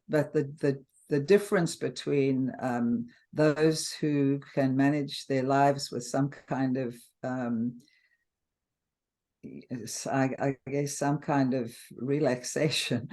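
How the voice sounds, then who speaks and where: Older South African woman, halting and earnest. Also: extremely low pitch, mellow. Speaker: interview guest. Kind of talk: casual interview talk.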